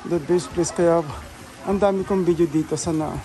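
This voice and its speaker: A woman's voice: fairly low pitch, fairly even intonation, a little fast. A woman with a Filipino accent and Tagalog as her first language, narrating a casual walking-tour vlog and often addressing her viewers directly as she switches between languages. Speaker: vlogger. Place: outdoors in a garden. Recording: clear outdoor background noise.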